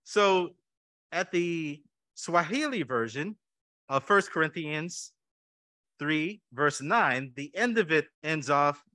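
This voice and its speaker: A man's voice: fairly high pitch, pushed and bright, no echo, studio quality. A man preaching a conversational sermon to his church, asking the congregation questions and adding light jokes. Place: church sanctuary.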